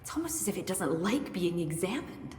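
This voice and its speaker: An actress playing a brisk, curious research scientist with dry wit in a video game, thinking aloud analytically. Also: female voice, fairly low pitch, sing-song, darkish tone. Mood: curious, musing. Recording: echoey hall with faint noise.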